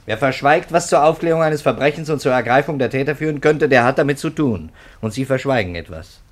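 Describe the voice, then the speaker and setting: A man's voice: medium pitch, animated, brisk, natural voice. An actor playing a sarcastic, probing police detective who presses his questions in a 1950s German radio drama. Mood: pressing. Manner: pressing, sardonic, rhetorical questioning.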